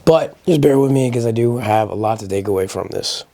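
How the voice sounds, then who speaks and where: Slightly raspy man, casual recap commentary. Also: medium pitch, fairly quick, warm tone, slight background noise. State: getting over a sickness. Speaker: host of a powerlifting recap channel. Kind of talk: casual solo video commentary.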